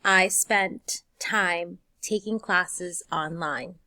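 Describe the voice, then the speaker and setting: A woman's voice: on the low side, extremely slow, pushed and bright, dry recording. A woman in her thirties, a Spanish teacher whose first language is Spanish, giving an earnest, encouraging scripted welcome to her students. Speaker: high school Spanish teacher.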